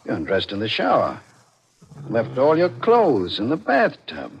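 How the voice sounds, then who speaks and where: Grumbling, swooping-voiced hotel detective. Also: male voice, medium pitch, wildly swooping pitch, measured pace. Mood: grumbling, exasperated. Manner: muttering, exclaiming. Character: gruff, grumbling male hotel house detective. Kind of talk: 1940s radio mystery acting. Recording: dull, noisy old radio recording.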